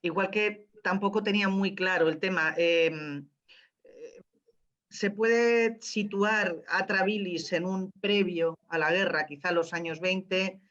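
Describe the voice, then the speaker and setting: A woman's voice: fairly low pitch, steady tone, a little slow, fairly bright tone. A woman gives unscripted, explanatory answers as a playwright. She halts and searches for her words. The sound is slightly dull and lo-fi. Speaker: playwright at a post-show Q&A. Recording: dull, lo-fi audio.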